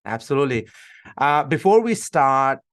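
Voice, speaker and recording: A man hosts an interview podcast in a medium-pitched voice. He delivers introductions and puts questions to his guest in a presenter's style. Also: sing-song, normal speed, fairly bright tone. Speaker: podcast host.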